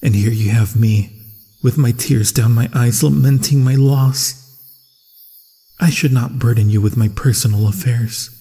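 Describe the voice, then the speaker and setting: A husky, breathy monster hunter, acted out by a man, grieving and sworn to vengeance. He speaks very slowly and solemnly, with dramatic halts, as in a fantasy horror ASMR roleplay. His voice rings in a long, cavernous reverb. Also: medium pitch, steady tone, dark tone. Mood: sorrowful, apologetic. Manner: solemn, dramatic, halting delivery with long pauses.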